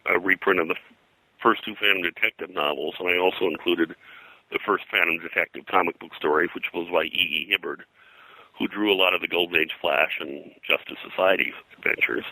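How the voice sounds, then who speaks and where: A man being interviewed as a historian and publisher, explaining history in a halting, detail-heavy, conversational way. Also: sits low, sharp tone, no room sound, rough recording.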